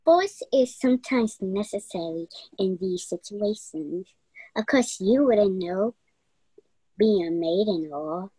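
A woman playing a cool, condescending investigator in a mystery radio play, deliberate and measured. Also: medium pitch, lively intonation, warm tone, slightly rough, no echo, poor audio. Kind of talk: stage-style mystery radio play acting.

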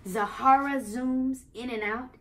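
High-voiced American woman reading to kids. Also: a little slow. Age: young adult. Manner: expressive, addresses child listeners with questions. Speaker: children's read-aloud host. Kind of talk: animated picture-book reading and explaining to young children. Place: living room, on a couch.

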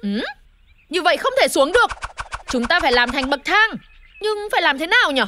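Very high-pitched eager little panda boy. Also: very animated, brisk, background noise. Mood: earnest, eager. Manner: exclamatory, earnest. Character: cute young boy panda, eager, earnest little hero. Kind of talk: children's cartoon dubbing.

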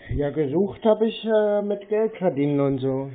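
A man with a slightly high voice chats casually on a narrow phone line. He sounds deadpan and evasive as he answers the caller. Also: normal speed. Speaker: prank call recipient baiting phone scammers.

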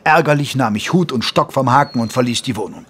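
An actor playing a detective's gentleman companion, narrating an audio drama in a measured, formal storytelling manner. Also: medium pitch, breathy edge. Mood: annoyed, restrained. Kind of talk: scripted first-person narration in an audio drama.

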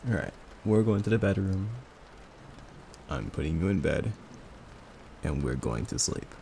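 A man speaking softly in an intimate, soothing ASMR boyfriend roleplay, murmuring in a comforting, gently teasing way. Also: sits low, expressive, unhurried. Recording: close recording with clear background noise.

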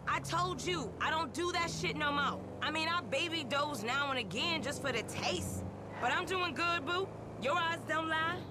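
High-pitched, brash young street woman played by an actress. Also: moderate pace, lightly acted. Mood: sassy, pushy. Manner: slangy, sassy, pushy. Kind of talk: video game voice acting, street slang. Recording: car and street noise behind. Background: car engine and street ambience.

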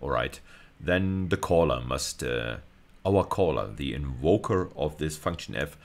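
A middle-aged man giving a recorded technical lecture as a university lecturer, explaining in a measured, hesitant way. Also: very deep voice, lively intonation. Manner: measured, hesitant, explanatory, with frequent check-in tags.

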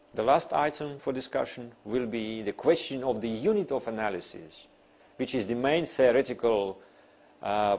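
A man in his fifties, a non-native English speaker, giving an academic lecture in deliberate, emphatic phrases with pauses. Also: mid-range voice, darkish tone. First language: not English. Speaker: university lecturer. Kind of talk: academic lecture, partly read from notes. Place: small classroom, seated at a desk.

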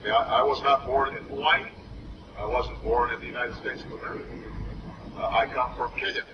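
Man speaking haltingly, distant and muffled. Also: medium pitch, steady tone. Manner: halting, with fillers and restarts. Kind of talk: public speech. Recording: distant muffled noisy archive clip.

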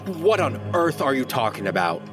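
Guy playing annoyed worker on the defensive, audio drama, up and down pitch, music behind. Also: very animated, moderate pace, close to an everyday voice. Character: defensive, irritated working man.

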